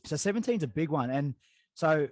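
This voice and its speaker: A man hosting a casual podcast interview, relaxed and rambling with lots of fillers. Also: on the high side, normal speed, dry recording. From Australia. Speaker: podcast host. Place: living in Dubai.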